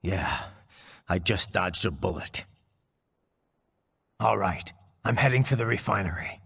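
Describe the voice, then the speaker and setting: Game role: jaded cyborg warrior, very husky growl, dry sarcasm, long reverb. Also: male voice, deep voice, expressive, unhurried, fairly bright tone, full character voice. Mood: gruff, terse. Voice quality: gravelly, raspy. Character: gruff cyborg swordsman, jaded, sardonic male warrior. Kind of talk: dramatic video game voice acting.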